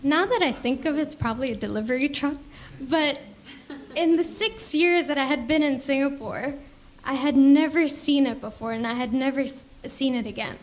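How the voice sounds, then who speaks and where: High-voiced young woman testifying. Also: moderate pace. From Indonesia. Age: young adult. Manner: earnest, sincere storytelling. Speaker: church member giving testimony. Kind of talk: personal testimony speech. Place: church service.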